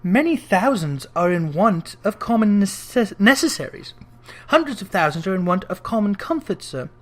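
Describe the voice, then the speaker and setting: Storyteller playing a portly charitable gentleman, courteous, formal, earnest, lively rise and fall, storybook reading. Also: mid-range voice, moderate pace, pitched above the performer's own voice, natural voice. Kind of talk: dramatic storybook character reading.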